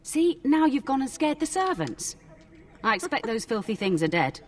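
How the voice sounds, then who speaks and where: A woman's voice: high voice, sing-song, moderate pace, slight background noise. A woman voicing a cranky older castle cook who scolds and blusters, in fantasy video game acting. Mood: grumbling.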